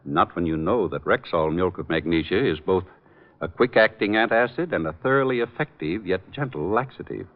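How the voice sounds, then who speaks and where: An American man with a low, animated voice delivers a scripted druggist's sales pitch. It is folksy 1940s radio advertising on a muffled recording. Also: fairly quick.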